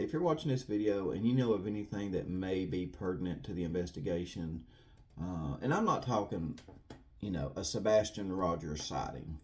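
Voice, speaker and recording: Low-voiced man talking to viewers. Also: a little mellow. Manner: earnest, folksy, repeats himself for emphasis. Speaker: true crime video host. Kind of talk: casual rambling commentary to viewers.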